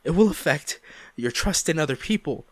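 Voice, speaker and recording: A man acting a guilt-ridden ex-boyfriend pleading for forgiveness in an intimate audio roleplay, halting and emotional. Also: on the high side, lively intonation, no room sound. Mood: remorseful, distressed. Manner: halting, emotional, sighing, repeats himself.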